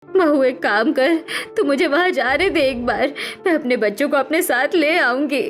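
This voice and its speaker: A woman's voice: high voice, normal speed, natural voice. A woman voicing a worried, doting elderly mother-in-law in a cartoon story, with a pleading, wailing delivery.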